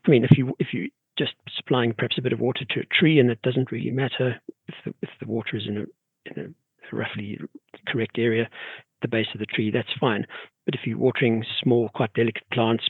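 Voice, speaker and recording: A middle-aged man from South Africa with a South African accent, a guest expert talking in a measured, halting way with many restarts. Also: mid-range voice, fairly even intonation, husky, no room sound. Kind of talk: casual podcast interview. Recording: tinny phone-like remote line.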